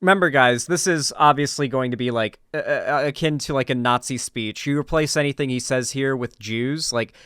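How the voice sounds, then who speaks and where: A man's voice: mid-range voice, fairly bright tone, clear, resonant tone, dry recording. Clean, dry-sounding man giving blunt commentary. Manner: emphatic, blunt, casual swearing. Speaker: political commentary streamer. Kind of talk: casual unscripted reaction commentary.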